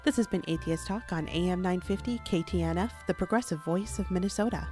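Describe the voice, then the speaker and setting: A woman's voice: lowish voice, fairly quick, warm tone. A woman radio host reading a scripted sign-off. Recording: music under the voice. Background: music.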